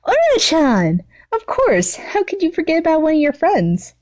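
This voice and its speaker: A woman's voice: very high-pitched, wildly swooping pitch, moderate pace, a little mellow, pitched above the performer's own voice, full character voice. A woman voicing a gleeful, clingy, sweetly obsessive teenage girl villain in an amateur story reading, gushing in a sing-song way.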